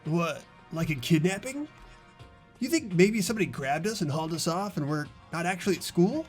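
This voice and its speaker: Man as higher-voiced earnest schoolboy narrator. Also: lively intonation, brisk, soft background music, natural voice. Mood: puzzled. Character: ordinary teenage schoolboy protagonist, earnest and uneasy. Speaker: narrator and lead of a fan-voiced game playthrough. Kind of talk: amateur voiced visual novel narration and dialogue.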